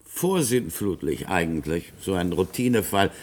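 Skeptical formal investigator type, lowish voice, radio play. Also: male voice, animated, normal speed, a little mellow. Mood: sceptical. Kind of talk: German radio play acting.

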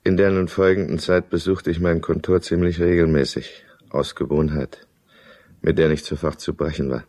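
Deep-voiced, self-pitying drinking husband. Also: male voice, level tone, normal speed, mellow. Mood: brooding, confessional. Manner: brooding, self-justifying. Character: alcoholic German businessman husband, self-pitying, defensive, irritable. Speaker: lead character and first-person narrator. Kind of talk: German radio drama acting and narration.

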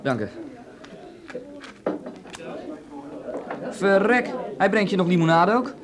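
A man's voice: high-pitched, expressive, a little fast, crowd noise. An actor playing a teasing, blunt young man among friends in a radio play, probing as he talks. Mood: impatient. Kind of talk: Dutch radio play acting.

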